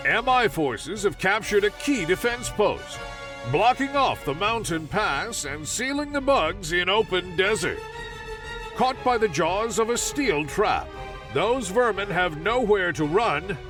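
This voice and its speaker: Propaganda newsreel voice: high, animated, bombastic, set back behind prominent music. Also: male voice, takes it slowly, exaggerated voice. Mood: triumphant. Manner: grandiose, declamatory. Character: triumphant, bombastic male military propaganda newsreel announcer. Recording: distant voice under loud music.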